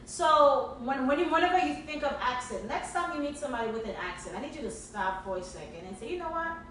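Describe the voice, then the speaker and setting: A woman's voice: high-pitched, lively intonation, fast talker, a bit bright, slight background noise. A woman from Haiti co-hosting a podcast, speaking with emphatic repetition in casual, motivational chat. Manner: emphatic, repeating phrases for effect. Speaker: podcast co-host. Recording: echoey room from far off.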